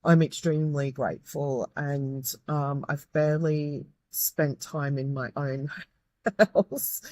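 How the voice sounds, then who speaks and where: A woman from Australia with a croaky, tired voice, a guest speaking earnestly in an interview with frequent restarts. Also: deep voice, fairly even intonation, slow, a little mellow, no echo. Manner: earnest, grateful, with many restarts. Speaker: guest, medium and tutor. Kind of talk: reflective interview talk.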